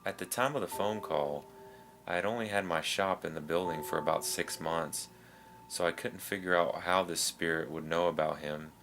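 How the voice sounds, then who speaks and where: Very deep-voiced man narrating creepy stories. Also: normal speed. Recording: music behind the voice.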